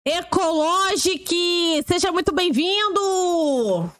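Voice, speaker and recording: High-voiced sarcastic young woman streamer. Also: expressive, fairly bright tone. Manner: sarcastic, blunt, quick asides. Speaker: streamer reacting to videos. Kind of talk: casual sarcastic react commentary. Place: home streaming room, seated in gaming chair.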